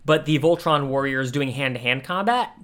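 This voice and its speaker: A man chatting casually as a podcast co-host reviewing a cartoon, rephrasing his thoughts haltingly as he goes. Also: medium pitch, fairly bright tone.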